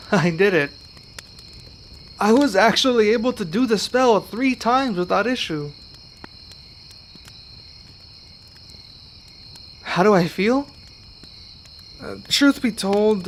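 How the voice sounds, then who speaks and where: A man's voice: high voice, a bit bright, a little hiss or hum, heavily acted. A man playing an earnest young werewolf learning magic in an ASMR roleplay, speaking to one listener with some hesitation. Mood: relieved, excited.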